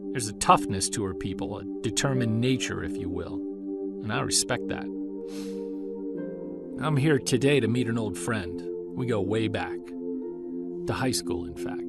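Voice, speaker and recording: Wry amateur investigator, self-deprecating, very lively intonation, conversational audio drama delivery over music. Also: male voice, on the low side, normal speed, creaky. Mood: wry, reflective. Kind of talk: audio drama narration and casual dialogue.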